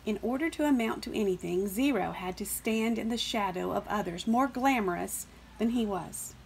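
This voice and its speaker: A woman reading a children's picture book aloud as expressive scripted narration. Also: medium pitch, normal speed, creaky, slight background noise, rough recording. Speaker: storybook reader.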